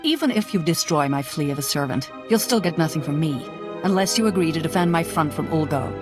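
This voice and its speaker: A woman's voice: deep voice, animated, a little fast, music in the background. A woman playing a hard-headed, proud military general in a video game, speaking in a commanding, pragmatic way. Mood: cool defiance. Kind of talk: video game dramatic acting.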